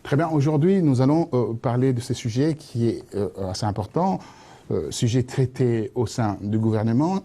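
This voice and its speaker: A man's voice: mid-range voice, dark tone, husky. A man hosting a studio debate, introducing the guests and asking questions with many stumbles and restarts. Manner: halting, many restarts and fillers. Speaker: debate host.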